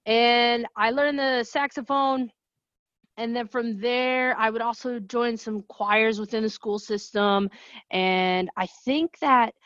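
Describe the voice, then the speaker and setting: A woman from New Jersey, a singer-songwriter with a low voice, chatting casually as a guest in an interview and rambling through asides. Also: fairly bright tone, no echo, muffled recording.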